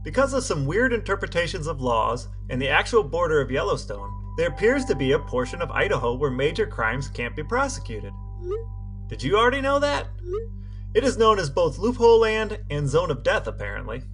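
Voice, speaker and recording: A man's voice: a little high, sing-song, fairly quick, fairly bright tone, soft background music. A man co-hosting a podcast, laying out facts in a conversational, explanatory way. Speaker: podcast co-host. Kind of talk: reading texts and recounting facts conversationally.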